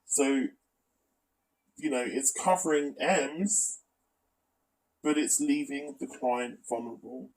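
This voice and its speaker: A man delivers an exposé-style commentary in a middling, creaky voice. He sounds critical yet matter-of-fact as he lays out and reads through evidence. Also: mid-range voice, takes it slowly, pauses often, darkish tone, poor audio. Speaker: video commentator presenting an exposé. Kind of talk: spoken commentary reading out evidence.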